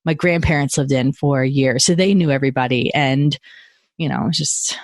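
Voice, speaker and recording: A woman in her thirties co-hosting a casual podcast, chatty and quick to laugh, often trailing off mid-sentence. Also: a bass voice, no room sound, clean studio sound. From United States. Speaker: podcast co-host. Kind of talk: casual chat.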